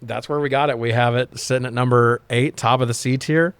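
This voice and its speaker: A man's voice: mid-range voice, fairly even intonation, a little fast, fairly bright tone, clear, resonant tone, no echo. A man talking casually and informally as a podcast co-host, rambling through his opinions and swearing now and then. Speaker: podcast co-host reviewing movies. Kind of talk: casual unscripted discussion.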